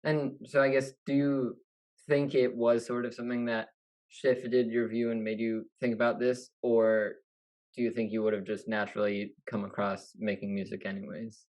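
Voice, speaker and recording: A young man hosting an interview, asking relaxed questions full of "you know" and "like". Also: mid-range voice, normal speed, low-quality recording. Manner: relaxed, filler-heavy questions. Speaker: interview host. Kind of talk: casual interview chat.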